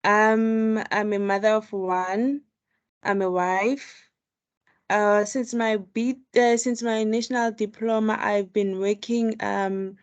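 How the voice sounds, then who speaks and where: A woman student speaks over a video call in an ordinary mid-range voice. She talks hesitantly, pausing often with ums and uhs as she explains herself. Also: mid-range voice, muffled recording. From South Africa.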